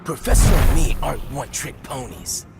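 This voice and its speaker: A man's voice: medium pitch, expressive, fairly quick, creaky. A man voicing a cocky young superhero with fiery powers in a video game, brash and wisecracking. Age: young adult. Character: cocky young male superhero who wields nuclear fire. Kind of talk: video game character dialogue. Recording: game audio with music behind.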